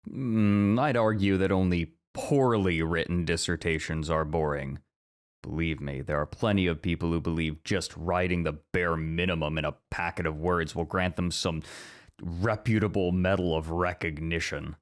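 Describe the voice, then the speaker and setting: Guy acting as a stuffy bookish professor, low voice, measured n articulate, asmr roleplay. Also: steady tone. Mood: earnest, dry. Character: bookish, earnest male professor, easily flustered by teasing.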